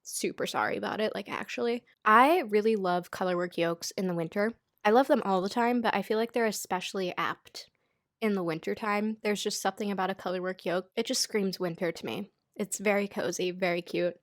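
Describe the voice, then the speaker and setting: A woman's voice: medium pitch, brisk, dry recording, clean studio sound. A young American woman in her twenties, badly congested, chatting casually and self-deprecatingly as she runs through her picks for the season. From United States. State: congested, stuffy-nosed. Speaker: knitting YouTuber. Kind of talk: casual chatty pattern roundup.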